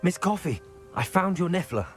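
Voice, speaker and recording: A man's voice: sits high, sing-song, normal speed, faint music behind. A man playing a brave, polite teenage wizard hero in a video game, with an earnest manner. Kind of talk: video game character dialogue.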